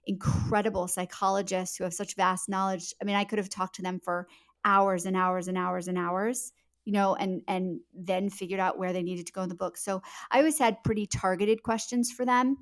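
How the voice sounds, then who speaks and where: A woman's voice: medium pitch, fairly even intonation, moderate pace. A woman speaking as an author being interviewed, giving conversational answers in an earnest, emphatic way, leaning on key words.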